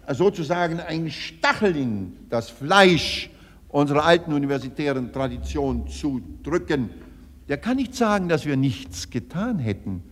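Older man, public speech, emphatic oratory, very animated pitch, long hall reverb. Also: a little slow. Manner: emphatic, oratorical, with rhetorical tags and repetitions.

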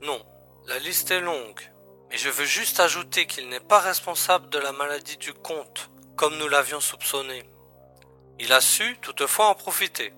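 A man playing a calm, matter-of-fact secret agent colleague in a science-fiction audio drama, explaining things in a familiar way. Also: a little high, normal speed, faint music behind. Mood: briefing.

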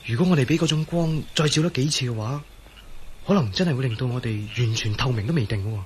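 A man's voice: mid-range voice, fast talker, a little hiss or hum, natural voice. An actor playing a desperate, horrified man in a Cantonese radio drama, overwrought and pleading, with a Hong Kong accent. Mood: hopeful. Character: anguished, desperate man horrified at becoming a living skeleton.

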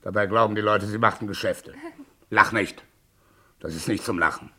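An actor playing a snobbish, bored businessman knight in a radio crime play, gruff and grumbling. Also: on the low side, normal speed, pushed and bright. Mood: bored, bitter.

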